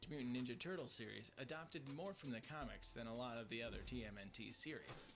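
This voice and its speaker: A man's voice: medium pitch, fast. A man reading scripted countdown narration as the narrator of a list video. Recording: music bed under the voice. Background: background music.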